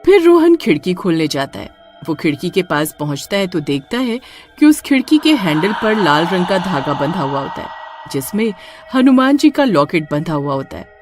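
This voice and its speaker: Lively female horror story narrator. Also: fairly low pitch, moderate pace, over music.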